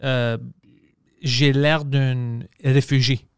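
A young man, a podcast host and stand-up comedian, chatting casually in French he learned later in life, relaxed and swearing freely. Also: medium pitch, drawn-out words, smooth, dry recording, studio quality. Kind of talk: casual chat.

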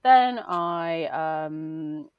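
A woman's voice: lowish voice, expressive, extremely slow, dry recording, dull audio. A woman from Wales with a light Welsh accent, a beauty journalist chatting casually and reflectively in an interview, with plenty of fillers and restarts. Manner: chatty, reflective, frequent fillers and self-corrections.

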